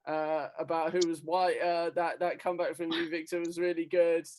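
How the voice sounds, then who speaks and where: A man's voice: on the high side, little pitch movement, moderate pace, darkish tone. A man judging a comedy battle from home, laid-back and hesitant, with lots of ums. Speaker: judge. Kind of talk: casual chat. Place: home, on a video call.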